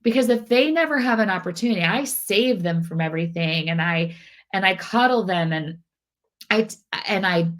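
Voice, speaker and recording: A middle-aged woman hosting a parenting podcast, a little nasal and congested from a cold, talking earnestly with many restarts and repeated phrases. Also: fairly low pitch. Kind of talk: casual talk to camera.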